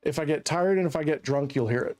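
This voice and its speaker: A man's voice: fairly high pitch, quick pace, darkish tone. A man from Central Virginia chatting in a rambling, joking way while streaming a colony game. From Central Virginia, USA. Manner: rambling, chatty, laughs at his own jokes. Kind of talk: casual gameplay commentary.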